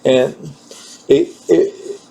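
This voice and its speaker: Slow, halting man demonstrating gear. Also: dark tone, poor audio. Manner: halting, searching for words, repeating himself. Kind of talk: informal hands-on equipment demonstration.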